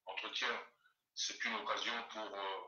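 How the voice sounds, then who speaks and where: Older man, slow halting phone guest, sharp lowish voice, distant and roomy. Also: little pitch movement, highs cut off. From Congo (DRC). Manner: slow, halting, with frequent fillers. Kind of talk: phone interview. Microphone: phone call.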